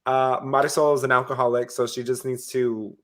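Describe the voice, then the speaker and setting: A man with a congested, stuffed-up voice chats casually on a reality TV recap podcast. He is blunt and opinionated with a playful edge, at an ordinary mid pitch. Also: flat delivery, a little fast, a bit bright. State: stuffed-up nose. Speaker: podcast co-host.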